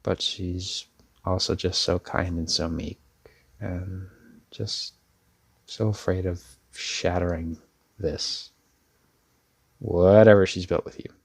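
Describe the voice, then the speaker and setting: A man acting as an earnest young brother in an audio roleplay, his voice low and gravelly. He speaks slowly and intimately, with hesitant pauses, like someone confiding in a friend. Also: steady tone, full character voice. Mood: sincere, grateful. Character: protective older brother, a laid-back but sincere young man. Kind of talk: ASMR audio roleplay, intimate one-sided dialogue.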